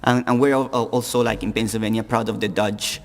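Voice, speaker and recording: A male panelist from Colombia with a Colombian Spanish accent, a native Spanish speaker, giving halting remarks at a public forum and often repeating words. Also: on the low side, fairly even intonation, in a large hall.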